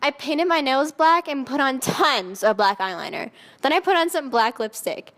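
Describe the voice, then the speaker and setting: A woman's voice: high-pitched, animated, normal speed, fairly bright tone. A woman voicing a haughty, melodramatic seventeen-year-old goth vampire girl in a dramatic fan fiction reading, with emphatic teenage delivery. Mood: self-absorbed narrating. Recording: echoey hall with long reverb.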